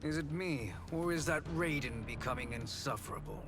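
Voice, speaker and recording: A man's voice: fairly high pitch, normal speed, lightly acted. Man playing a smug, cunning sorcerer over music. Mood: dryly amused. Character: cunning, smug sorcerer, smooth and sardonic schemer. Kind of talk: dramatic video game voice acting.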